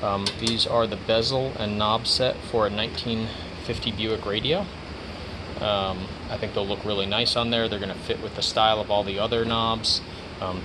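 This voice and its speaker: A man's voice: fairly low pitch, background noise. A man giving a casual, hands-on workshop tutorial, explaining step by step in a plainspoken way. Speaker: DIY car restoration host.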